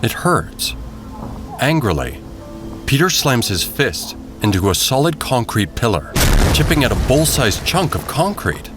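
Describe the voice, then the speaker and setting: A man's voice: medium pitch, big pitch swings, a little slow, music in the background. A man giving dramatic scripted narration for an audio drama.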